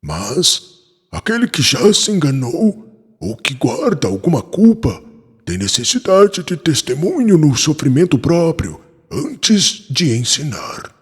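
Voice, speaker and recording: A man's voice: a little high, sing-song, slow, slightly rough, exaggerated voice. An actor playing a wise, patient male spiritual mentor who counsels a disciple in a solemn, didactic way in an audiobook drama. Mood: grave, instructive. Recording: long reverb on the voice.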